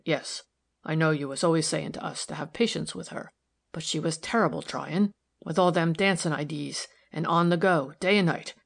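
A stolid working-class man from a storybook, acted out by a woman narrator. He is low and gravelly, solemn and respectful, with rustic, ungrammatical turns of phrase. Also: fairly even intonation, normal speed. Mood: solemn, grateful. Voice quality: deep. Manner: rustic, ungrammatical, deferential speech.

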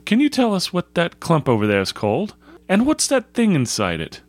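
A man's voice: high voice, wildly swooping pitch, moderate pace, no echo, pitched above the performer's own voice. A man voicing a polite, inquisitive British schoolboy Scout in a storybook. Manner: well-mannered, questioning. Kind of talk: storybook character voice.

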